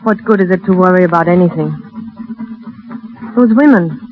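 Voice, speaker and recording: A woman's voice: fairly low pitch, moderate pace, lightly acted. An actress playing a world-weary, guarded but yearning young woman, terse and understated, in a 1930s radio drama. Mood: wistful, weary. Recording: tinny 1930s radio recording, loud music.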